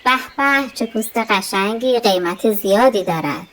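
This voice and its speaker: A woman voicing an opportunistic male hunter in a children's storybook, in an expressive manner. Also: mid-range voice, a little hiss or hum, lightly acted, poor audio. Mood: pleased, greedy. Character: opportunistic male hunter, timid when threatened.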